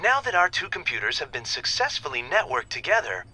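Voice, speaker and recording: Polite young male scientist for a game: articulate, lightly high, expressive. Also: brisk, full character voice, poor audio. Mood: thoughtful. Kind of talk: video game voice acting.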